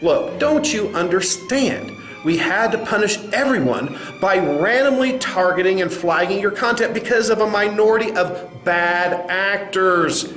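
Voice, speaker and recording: Casting: man as pompous, dismissive corporate executive for sketch comedy; high-pitched, talking down, in a roomy space. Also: animated, a little slow, a bit bright. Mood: smug, condescending. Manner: condescending, pompous. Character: smug condescending male company executive, dismissive and evasive. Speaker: narrator and sketch performer. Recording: roomy sound with music underneath.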